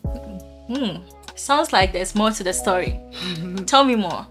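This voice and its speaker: A woman's voice: mid-range voice, lively intonation, slow pace. A woman playing a nosy young friend who teases and pries for details about a date. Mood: curious. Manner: teasing, probing. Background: soft music.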